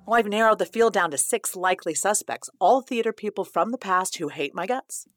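A woman's voice: mid-range voice, expressive, a little fast, fairly bright tone, no room sound, studio quality, soft background music, lightly acted. Actress as swooping, theatrical female playwright. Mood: melodramatic, alarmed. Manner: melodramatic, emphatic. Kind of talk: radio play dialogue.

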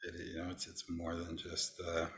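A man talking casually as a podcast host, in a halting way that circles back to repeat and rephrase his phrases. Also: low voice, completely flat delivery, a bit bright. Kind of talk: casual conversational discussion.

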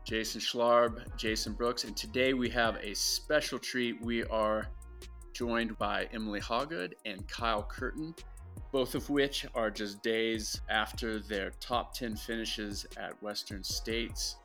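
A man's voice: fairly low pitch, steady tone, slow, sharp tone, faint music behind. A man co-hosting a running podcast in a casual interview, often repeating words as he starts his sentences. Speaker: podcast co-host.

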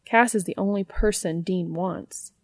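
A woman's voice: medium pitch, measured pace. A woman narrating a piece of written fiction in the style of an audiobook.

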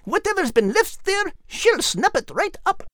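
Cheerful Scottish critter, high-pitched and very animated, folksy joker for a kids' audio story. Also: female voice, normal speed, dry recording, studio quality, exaggerated voice. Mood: chatty. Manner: chatty, folksy, joking.

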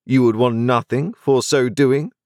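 A man's voice: mid-range voice, fairly even intonation, a little slow, a little mellow, dry recording, clean studio sound, pitched above the performer's own voice, close to an everyday voice. A man voicing a brusque, cross-examining old-school lawyer. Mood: stern, formal. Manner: clipped, formal, cross-examining. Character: brusque, overbearing middle-aged male lawyer. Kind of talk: audiobook character voice.

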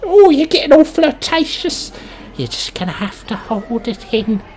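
A woman playing a pushy, flirtatious, suggestive dinner date with a croaky voice in an improvised comedy radio play. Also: high-pitched, big pitch swings, warm tone. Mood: flirty, bossy. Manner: drawn-out, suggestive, teasing delivery.

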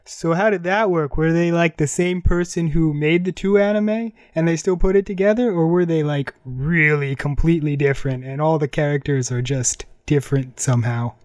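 Male radio host, higher-pitched voice, dry close sound, casual chat introducing songs. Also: moderate pace, hardly any pauses, darkish tone, dry recording. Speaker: host of an anime radio show.

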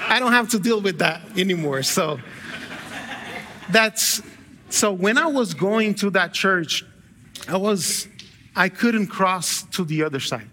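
A man from Brazil delivers a sermon in a church, his voice pitched a little high and sounding bright and forceful. He talks in a loose, conversational way, restarting phrases and slipping in small jokes. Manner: conversational with light humor and frequent self-corrections. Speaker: guest pastor. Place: church sanctuary with a live congregation.

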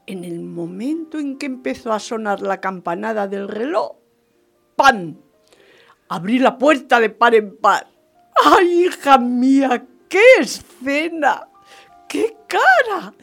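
Radio-play marquise, female actor: high, very animated, gleeful schemer, dramatic gossip delivery. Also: slow, creaky, soft background music, full character voice. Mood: excited, gleeful. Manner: theatrical, exclamatory, dramatic. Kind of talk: radio theatre storytelling.

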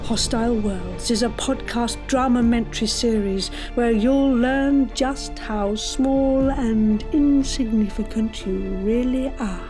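A woman playing a polite, sceptical crewmate and narrator in a scripted audio drama, speaking in a measured way. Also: on the high side. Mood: grand, dramatic. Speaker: podcast host. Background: music.

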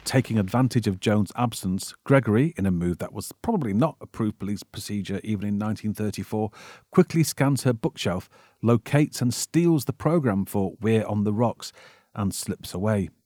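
A man's voice: fairly low pitch, animated, moderate pace, a little mellow, no echo, clean studio sound. A British man hosting a podcast, reading scripted narration as a wry, theatrical storyteller. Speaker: podcast host.

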